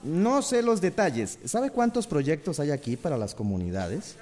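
A man's voice: a little high, big pitch swings, moderate pace, a little mellow, soft background music. An actor playing a brusque, defensive, evasive male engineer in a radio soap opera. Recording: reverberant hall-like radio drama sound.

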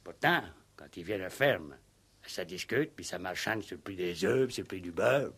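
A simple-minded village farmhand in a 1960s radio play. His voice is low, and he grumbles in a halting, blunt, rustic way, full of spite for his neighbours. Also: expressive, fairly bright tone, husky, full character voice. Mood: grumbling, scornful.

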